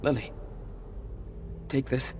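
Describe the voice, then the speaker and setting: An actor playing an ancient monster leader who was once human, speaking gravely, with measured, earnest persuasion in a video game cutscene. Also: male voice, noisy background, close to an everyday voice. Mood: urgent, pleading. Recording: background music under the voice.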